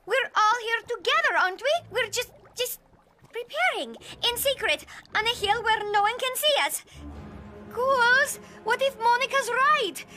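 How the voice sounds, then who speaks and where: Squeaky, earnest monster girl. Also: measured pace, over music. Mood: worried. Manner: girlish, eager, fretful. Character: earnest, bubbly, anxious teenage monster girl. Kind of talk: animated cartoon acting.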